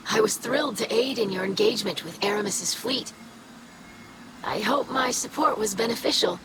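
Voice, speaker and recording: A youthful female alien scholar from a video game, acted by a woman. She is earnest and eager, with formal, carefully polite phrasing at an average pitch. Loud noise runs behind the voice. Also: close to an everyday voice. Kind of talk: video game character acting.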